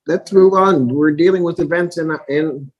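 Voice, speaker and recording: A man with a husky voice speaking as a judge in a courtroom, reasoning aloud in halting, repeated phrases. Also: fairly high pitch, darkish tone, dull audio. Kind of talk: courtroom ruling, spontaneous questioning.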